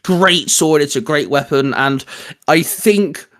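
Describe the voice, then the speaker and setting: A man talks as a co-host in a casual discussion between fans. His voice sits a little high for a man. He speaks conversationally, explaining his opinions. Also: takes it slowly, a bit bright.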